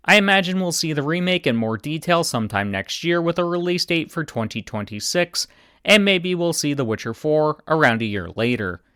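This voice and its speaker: Male game commentator, deadpan read, resonant and dry. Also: medium pitch, clean tone, no room sound. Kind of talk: scripted video commentary.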